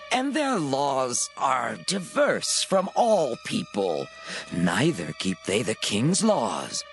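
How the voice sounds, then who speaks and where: An actor playing a scheming royal adviser in a dramatized scripture, formal, deliberate and persuasive as he petitions. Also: mid-range voice, a bit bright, heavily acted. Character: scheming royal adviser urging the king against a people.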